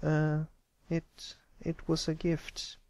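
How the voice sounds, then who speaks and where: A man's voice: on the high side, completely flat delivery, very slow, a little mellow, pitched above the performer's own voice. A man voicing a bashful, meek young colt in a storybook reading, stammering and mumbling modestly.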